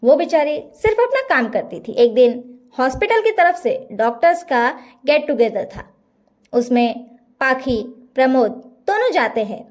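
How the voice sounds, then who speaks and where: A woman narrates a bedtime story in a high voice. Her pitch moves up and down in a lively, animated way as she reads the scripted tale. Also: unhurried.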